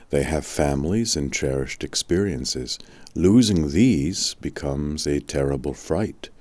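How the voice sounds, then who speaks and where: Wise old male wizard read by a man, very low dry voice, patient and philosophical, storybook mentor. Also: a little mellow, no room sound, exaggerated voice. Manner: measured, philosophical teaching. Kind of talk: audiobook storytelling.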